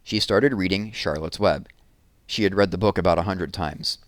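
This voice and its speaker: A man narrating a children's book aloud with expressive storytelling. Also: sits low, normal speed, no echo.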